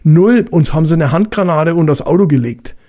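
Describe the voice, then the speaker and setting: A man's voice: on the high side, animated, brisk, warm tone. A middle-aged man with a Franconian German accent, a former police officer telling stories in a loose, colloquial way with many fillers and restarts. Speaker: retired police officer guest. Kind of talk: casual anecdotal storytelling.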